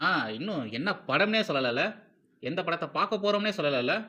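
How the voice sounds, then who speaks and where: A man's voice: a little high, expressive, a little fast, bright, forceful tone. A man with a Tamil Nadu accent giving informal, opinionated video commentary, emphatic and repetitive, asking rhetorical questions and mixing in English phrases. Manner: mixes English phrases into talk. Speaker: host of a film commentary series.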